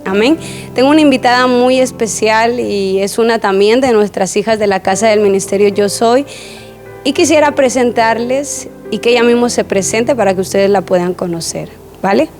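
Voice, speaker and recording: Mellow-voiced woman hosting a church program. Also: a little high, moderate pace, faint music behind. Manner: warm pastoral, repeats words, many affirming murmurs.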